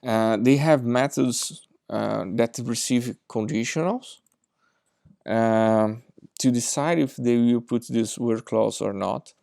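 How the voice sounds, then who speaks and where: Gravelly-voiced Brazilian man, slow and halting. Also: mid-range voice, warm tone, no room sound, clean studio sound. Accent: Brazilian Portuguese. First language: Portuguese. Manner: hesitant, many fillers, non-native grammar. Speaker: software engineer presenting a project demo. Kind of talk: recorded demo presentation. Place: indoors at a desk with a laptop.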